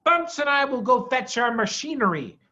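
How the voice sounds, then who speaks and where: Man putting on a loud, high-pitched, bossy farmer villain. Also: big pitch swings, moderate pace, dull audio, exaggerated voice. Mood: bossy, scheming. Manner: loud, commanding, blunt. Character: gaunt, grubby, deaf, bossy villainous male farmer.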